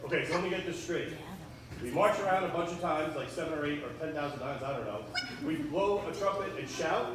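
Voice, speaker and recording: A man's voice: a little high, fairly quick, natural voice. A man voicing an eager, clueless, earnest army leader in a chatty puppet show for children. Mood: eager, confused. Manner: chatty, bumbling, animated. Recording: very echoey hall, far, loud noise.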